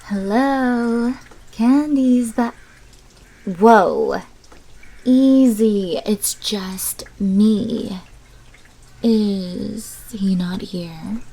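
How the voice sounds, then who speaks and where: Hushed, slow-talking vampire mobster played by a woman. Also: medium pitch, fairly even intonation. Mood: guarded, defensive. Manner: hushed, often dropping into whispers. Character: female vampire mafia manager, sly, menacing, flesh-eating. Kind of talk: ASMR roleplay, scripted character monologue. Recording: clearly noisy background.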